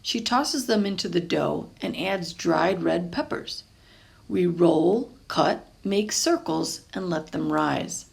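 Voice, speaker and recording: A woman's voice: fairly low pitch, lively intonation, takes it slowly, creaky. A woman reads a children's picture book aloud expressively, adding small explanatory asides. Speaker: storybook reader, teacher.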